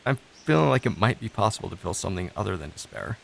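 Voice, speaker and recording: A man's voice: deep voice, very animated, fast, vocal fry, heavily acted, poor audio. An actor playing a despairing but earnest man in therapy, speaking hesitantly and vulnerably in a scripted audio drama. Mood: subdued, tentative. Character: despairing, self-doubting, earnest male therapy client. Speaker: actor in a fiction podcast.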